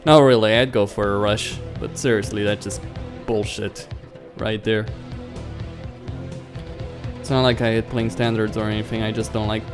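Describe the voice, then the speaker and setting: A man's voice: lowish voice, level tone, moderate pace, natural voice. A man voicing an irritated, blunt rival pro gamer in a visual novel game, grumbling indignantly. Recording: music behind the voice.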